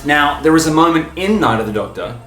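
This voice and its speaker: A man hosting a fan video, talking in an enthusiastic, informal, emphatic way, stopping and starting with pauses for breath. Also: on the high side, animated, pushed and bright, reverberant, faint music behind. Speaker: video host and fan reviewer.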